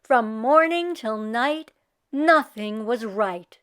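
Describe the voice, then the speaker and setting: A woman storyteller reads aloud for children in a high, very lively voice. She takes her time, leaning on key words with dramatic emphasis. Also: slow pace, smooth. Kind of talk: expressive children's storybook narration.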